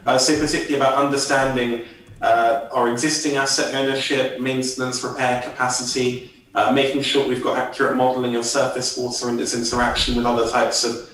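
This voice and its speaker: A man's voice: mid-range voice, level tone, fairly quick, a bit bright, heavy reverb, far from the mic, rough recording. A man on an expert panel, listing his points methodically to a committee. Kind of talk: committee testimony.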